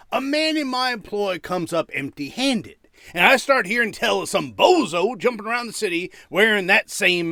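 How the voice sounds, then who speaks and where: A man playing a menacing gangster boss in an audio drama, sarcastic and bullying, with gravelly, taunting wise-guy talk. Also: sits high, pushed and bright, dry recording, studio quality, full character voice.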